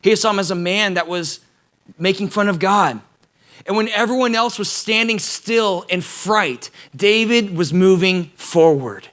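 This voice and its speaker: Young male youth pastor, high bright voice, animated, talks right to the kids. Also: measured pace. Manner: animated, casual, addresses students directly. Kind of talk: energetic youth sermon.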